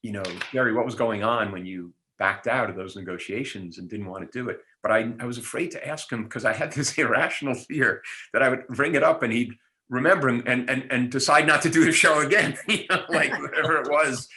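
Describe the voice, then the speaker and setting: A man's voice: medium pitch, fairly quick, sharp tone. A man reminiscing casually at a reunion, telling anecdotes in a wry, hesitant way. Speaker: show alumnus on a reunion panel.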